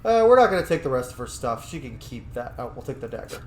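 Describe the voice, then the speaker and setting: A man's voice: mid-range voice, sing-song, fast, a little mellow, roomy sound, slight background noise. A man giving casual let's-play commentary as a video host, playful and sassy and swearing freely.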